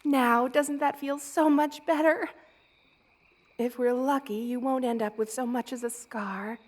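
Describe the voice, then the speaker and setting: A woman playing a motherly, regal spider queen who is gentle and protective, in a tender ASMR roleplay. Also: high voice, steady tone, measured pace. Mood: tender, coaxing. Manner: tender, cooing, doting, with regal commands.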